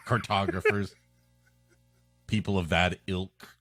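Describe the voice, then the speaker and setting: A man's voice: deep voice, steady tone, takes it slowly, a bit bright, dry recording, faint music behind. A man co-hosting a comedy podcast, improvising sarcastic, mock-serious riffs in casual banter. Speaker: podcast co-host.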